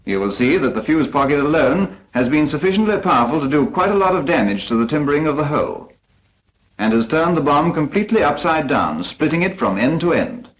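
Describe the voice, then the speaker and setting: A man's voice: a little high, very animated, a little fast, low-quality recording. A British man narrating a 1940s instructional film from a script in a formal, precise, instructive manner.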